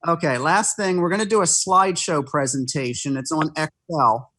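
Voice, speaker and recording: A man's voice: fairly high pitch, normal speed, sharp tone. A man presenting on a video call from home, casually explaining things to a group. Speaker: guest presenter. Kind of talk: casual explaining before a slideshow.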